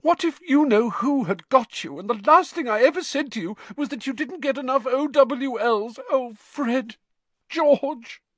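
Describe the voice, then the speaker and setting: A male narrator puts on an anxious middle-aged mother witch from a storybook. She speaks in a high, swooping voice, doting and fretful, with emotion spilling into every exclamation. The sound is close and dry. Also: lively intonation, a little slow, no echo, exaggerated voice. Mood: sobbing remorse.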